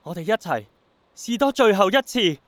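A man's voice: high-pitched, lively intonation, moderate pace, dry recording. An actor playing an earnest, stubborn young man in a radio drama, insistent in manner, with a Hong Kong Cantonese accent. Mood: quiet hope.

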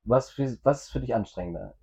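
A man's voice: mid-range voice, expressive, quick pace, vocal fry, mellow, husky. A man hosting a motorsport podcast, chatting casually and giving frequent short backchannels. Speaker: podcast host. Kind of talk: casual interview chat.

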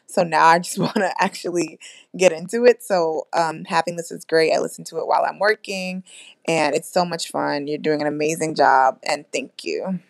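Low, gravelly-voiced young woman. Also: fairly even intonation, moderate pace, no room sound. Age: 20s. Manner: earnest, fluent, appreciative. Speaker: listener leaving a voice message. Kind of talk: casual spoken voice message.

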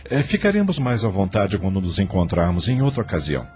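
A man's voice: low-pitched, huge pitch swings, brisk, a little mellow, soft background music. An actor playing a genial, easygoing middle-aged father, a wealthy farmer, in a radio soap.

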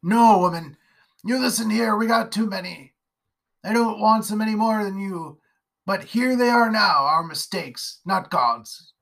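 A narrator voicing a haunted, drunken rural father who rants in a rough country dialect, raised and loud. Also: medium pitch, a little slow, pitched above the performer's own voice, low-quality recording. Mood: stern. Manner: rough rustic dialect, wild ranting. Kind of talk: storybook character voice.

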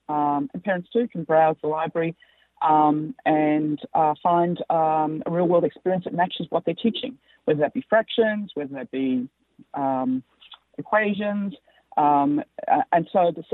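Halting Australian woman on a phone line. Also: deep voice, dry recording. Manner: halting, many filler sounds. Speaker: company founder and guest. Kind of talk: interview over the phone. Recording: tinny dry phone line.

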